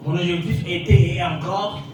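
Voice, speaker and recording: A man's voice: sits high, steady tone, a little fast, background noise. Male interpreter in an echoey hall. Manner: rephrasing, hesitant. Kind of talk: consecutive interpreting into French. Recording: echoey hall, far from mic, music behind.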